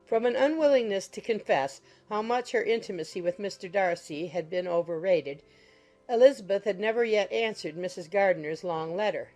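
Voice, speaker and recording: A woman's voice: medium pitch, moderate pace, a little mellow. A woman giving scripted narration of a period novel as an audiobook narrator. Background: music.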